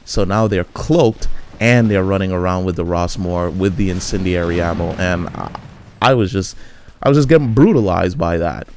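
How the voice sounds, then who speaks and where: Low-voiced man rambling over gameplay. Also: normal speed, a little mellow, slight background noise. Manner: rambling, frequent restarts and fillers. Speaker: gameplay commentator. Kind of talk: casual gameplay commentary.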